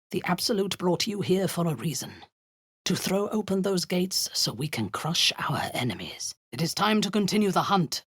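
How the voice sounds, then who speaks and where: A low, husky female dark elf commander from a fantasy video game. She is zealous and cruel, giving orders with slow, deliberate menace. Her delivery swings widely in pitch, with a seductive edge. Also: no room sound, studio quality, full character voice.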